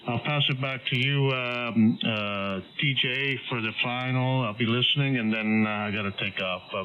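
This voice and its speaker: A man talking casually as an amateur radio operator, halting between short phrases, over a radio transmission. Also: medium pitch. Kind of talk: casual ham radio chat. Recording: muffled, faintly noisy radio transmission.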